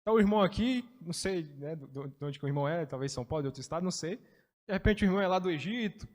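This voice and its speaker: A man's voice: a little high, expressive, rapid-fire, a little mellow, long reverb tail. A man teaching a Bible study in a chatty way, explaining with everyday examples.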